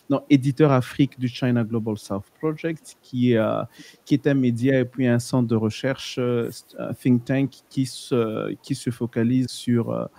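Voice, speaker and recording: Mellow-voiced man explaining carefully. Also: mid-range voice. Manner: measured, often restarts and corrects himself. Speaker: guest, editor of a research outlet. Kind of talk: explaining in a panel talk.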